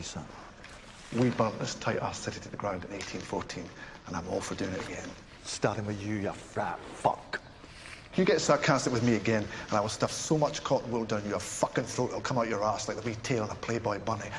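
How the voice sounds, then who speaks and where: A man's voice: medium pitch, quick pace, hardly any pauses, natural voice. An actor playing a ferociously angry government spin doctor, a profane and sarcastic Scottish man in a scripted screen satire. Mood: cold menace. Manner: profane, menacing, sarcastic, bullying. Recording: noisy location film or TV sound.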